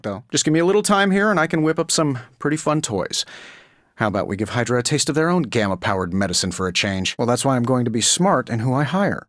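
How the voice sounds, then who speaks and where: An actor playing a witty, cocky billionaire inventor superhero in a video game, with a glib, sardonic, conversational delivery. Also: male voice, mid-range voice, fairly bright tone, no echo, clean studio sound, lightly acted. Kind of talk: video game character voice lines.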